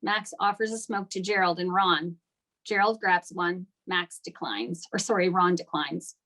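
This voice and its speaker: A woman's voice: on the low side, measured pace, fairly bright tone, low-quality recording. A woman narrating scripted stage directions at a table read of a screenplay.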